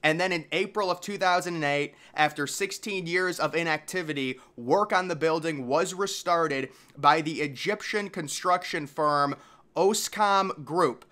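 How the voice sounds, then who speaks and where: Man, slightly high pitch, bright forceful tone, video host, documentary-style narration, informal slangy commentary. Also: a little slow.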